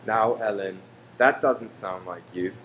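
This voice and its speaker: A man acting a gentle, hopeful, doting Victorian husband in an old-time radio play, soothing and earnest. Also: lowish voice, a little slow. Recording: roomy stage recording, faint hum.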